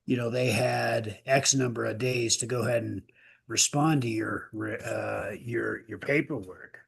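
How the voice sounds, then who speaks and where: A man's voice: mid-range voice, steady tone, measured pace, creaky, breathy edge. A middle-aged American man, a musician, telling anecdotes in a loose, casual conversational way.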